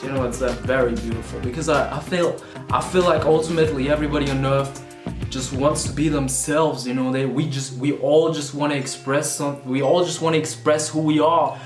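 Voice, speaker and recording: A man in his twenties, born in Vietnam, rambling casually to a camera about music, self-conscious and swearing now and then. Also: mid-range voice. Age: 20s. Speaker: vlogger and musician. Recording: roomy sound with music underneath.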